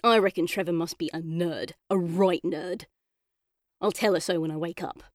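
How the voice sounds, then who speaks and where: A woman reading a children's audiobook as a chatty, earnest young boy telling his own story, expressive and colloquial. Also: on the low side, moderate pace, no echo, clean studio sound, lightly acted. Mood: chatty, amused. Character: boy narrator in a coma, chatty, frustrated, yearning. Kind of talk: first-person children's audiobook narration.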